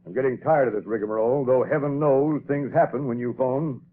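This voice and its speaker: A man's voice: mid-range voice, fairly quick, slight background noise, natural voice. Man playing brusque police commissioner. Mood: exasperated. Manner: brusque, exasperated. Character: gruff male police commissioner, impatient. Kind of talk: 1930s radio drama acting. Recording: tinny old radio broadcast.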